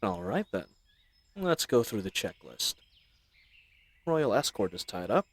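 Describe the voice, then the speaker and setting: A man's voice: on the low side, sing-song, measured pace, no echo. A man playing a chatty, roguish bandit leader in an audio roleplay, with folksy slang and teasing mock courtesy. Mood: brisk, wry. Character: roguish, chatty, friendly male bandit leader, mockingly polite. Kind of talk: scripted audio roleplay addressed to the listener.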